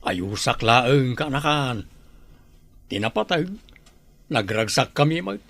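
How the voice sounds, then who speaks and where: An actor with a Filipino accent playing a stubborn, carefree older bachelor uncle in a radio drama, drawling and dismissive. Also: male voice, medium pitch, sing-song, slow, vocal fry, fairly bright tone, exaggerated voice.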